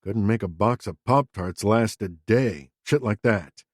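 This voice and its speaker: A man's voice: lowish voice, measured pace, no echo, clean studio sound. A man voicing a crude, defensive middle-aged father who talks in a blunt, profane, self-justifying way. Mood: gruff, candid.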